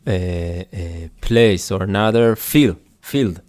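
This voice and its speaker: A man with an Arabic accent co-hosting a casual podcast discussion, speaking halting English and searching for words with many fillers. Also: deep voice, darkish tone. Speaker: podcast co-host.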